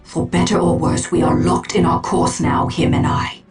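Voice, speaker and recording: An actress playing a proud, aloof female alien seer in a video game, speaking in a measured, formal, grave manner. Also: deep voice, fairly even intonation, music in the background, close to an everyday voice. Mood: resigned, reproachful. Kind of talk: video game cutscene acting.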